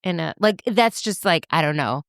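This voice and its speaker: A woman's voice: fairly low pitch, expressive, moderate pace, creaky, a bit bright, no room sound, clean studio sound. A woman co-hosting a podcast in casual chat, thoughtful and hedging, filling her talk with "like.". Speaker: podcast co-host.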